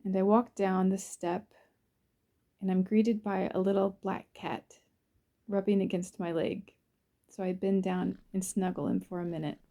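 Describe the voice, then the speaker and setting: A woman narrates a guided visualization in a soothing, unhurried way, in short phrases with pauses between them. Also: medium pitch, flat delivery, warm tone. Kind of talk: guided visualization, first-person present-tense narration.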